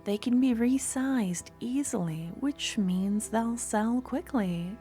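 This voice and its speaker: A woman's voice: on the high side, slow pace, soft background music. A woman playing a doting possessive shopkeeper. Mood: soft, admiring. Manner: soft, intimate, slips into whispers. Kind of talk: ASMR audio roleplay.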